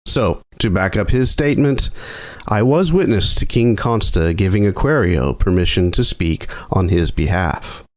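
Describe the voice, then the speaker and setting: An actor playing a grave, formal chief scientist and court doctor in an audio drama, speaking deliberately and ominously. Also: male voice, sits low, expressive. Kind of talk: scripted audio drama acting.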